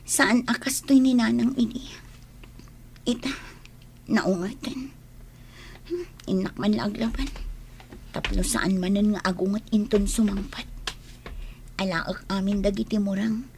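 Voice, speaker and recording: A woman's voice: medium pitch, unhurried, long pauses, a little mellow, a little hiss or hum, lightly acted. An actress playing a meek, apologetic young unwed mother and submissive daughter in an Ilocano radio drama. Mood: sorrowful.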